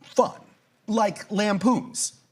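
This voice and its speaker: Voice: medium pitch, drawn-out words, darkish tone, husky. An actor playing a curious, skeptical ancient Greek time traveler in a live audio drama, with formal, old-fashioned wording and a questioning manner. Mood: curious, puzzled.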